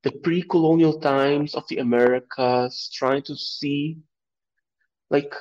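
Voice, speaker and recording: A man's voice: fairly high pitch, steady tone, takes it slowly, a little mellow. A man from Aruba, an artist being interviewed, answering in a reflective way and searching for his words. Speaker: guest artist. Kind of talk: podcast interview. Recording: dull lo-fi audio.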